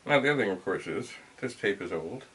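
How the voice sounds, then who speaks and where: A middle-aged man with a slightly low voice talks in a casual, halting way, as if thinking aloud while he works. He is demonstrating something hands-on in a room at home. There is noticeable noise behind him. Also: expressive, fairly bright tone, rough recording. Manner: halting, musing, talks to himself. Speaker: vintage computer hobbyist and video host. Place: cluttered indoor home room. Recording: clear background noise, equipment clicks.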